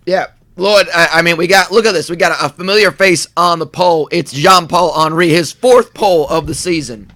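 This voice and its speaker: Man, race commentator, slightly high voice, rambling with fillers, comedic live commentary. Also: a little fast, hardly any pauses, bright, forceful tone, no room sound. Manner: rambling, many fillers and restarts.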